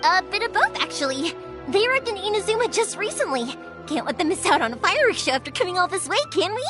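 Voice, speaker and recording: A cheerful young game heroine with a very high, lively voice. She is kind but headstrong, chatting in a casual, playful and expressive way. Music plays behind her. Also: fast talker. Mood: chatty. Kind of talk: animated video game dialogue acting.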